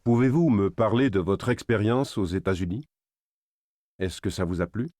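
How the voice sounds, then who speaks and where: A man's voice: fairly low pitch, normal speed, dark tone, no room sound, studio quality, natural voice. A man playing a polite, formal interviewer asking scripted questions. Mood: polite, neutral.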